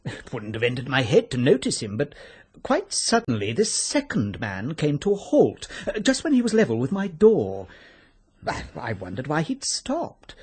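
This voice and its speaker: An actor playing a chatty, self-important older chemist with an English accent and a fussy, precise manner in a radio drama. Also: male voice, medium pitch, wildly swooping pitch, moderate pace, darkish tone, husky. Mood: eager, confiding. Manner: fussy, precise, hesitant openings.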